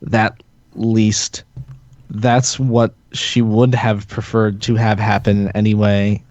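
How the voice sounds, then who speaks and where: A man with a lowish voice reading a story aloud, tripping over lines and laughing through them. The sound is dry and dull, from a close lo-fi mic. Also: little pitch movement, slow pace, a little mellow, no room sound. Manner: stumbles and laughs while reading. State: sick. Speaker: narrator of a group fan-fiction reading. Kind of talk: comedic read-aloud narration.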